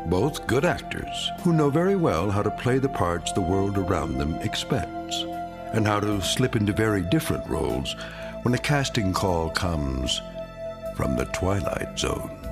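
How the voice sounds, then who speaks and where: A man delivering scripted radio drama narration in a deliberate, ominous manner. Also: sits low, big pitch swings, loud music behind the voice.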